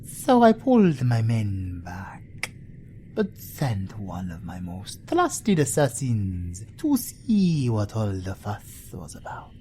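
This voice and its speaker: An actor playing a suave, teasing male vampire leader with a British accent and a breathy, theatrical, flirtatious drawl in an audio roleplay. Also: lowish voice, wildly swooping pitch, slow pace, mellow, slight background noise, heavily acted. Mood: measured recounting.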